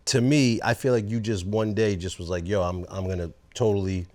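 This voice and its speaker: A man's voice: fairly low pitch, fairly quick. Forties man host, swearing, says bro. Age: 40s. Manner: animated, swears freely, calls people bro. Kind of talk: casual chat.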